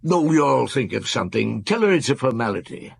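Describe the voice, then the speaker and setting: A man playing a weary, thoughtful middle-aged chief police inspector in a radio drama, questioning in an unhurried way with murmurs and sighs. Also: mid-range voice, sing-song, creaky, breathy edge, no echo, exaggerated voice. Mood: calm, probing.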